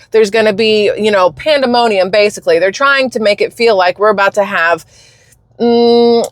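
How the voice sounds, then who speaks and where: A woman from the United States holds forth on her channel in an emphatic, indignant rant, her pitch sitting in an ordinary middle range. She speaks in quick, heated bursts. The recording is dry and close, with no room sound behind her. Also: no echo. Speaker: channel host. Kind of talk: opinion rant to camera.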